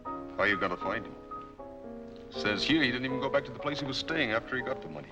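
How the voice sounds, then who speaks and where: An actor playing a slow-witted, curious crook's sidekick in a 1940s crime film. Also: male voice, medium pitch, close to an everyday voice. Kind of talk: 1940s film noir acting. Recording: muffled old film soundtrack, loud music behind.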